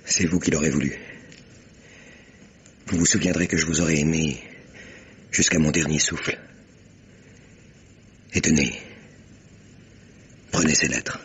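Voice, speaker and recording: An actor playing a desperate, insistent rejected suitor, solemn and melodramatic, in a 1950s French radio drama. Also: male voice, extremely low pitch, fairly even intonation, measured pace, long pauses. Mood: bitter, fatalistic. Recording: vintage radio recording, faint noise.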